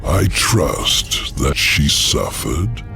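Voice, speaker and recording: Very gravelly, imperious demon lord. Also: male voice, a bass voice, sing-song, music in the background, heavily acted. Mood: coldly scheming. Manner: grand, commanding, deliberate. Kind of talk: video game character acting.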